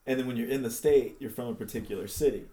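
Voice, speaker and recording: A man's voice: medium pitch, fast talker, echoey room. A man interviewing in a casual conversational way, asking loose questions full of fillers like "like" and "um". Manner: relaxed, filler-heavy questioning.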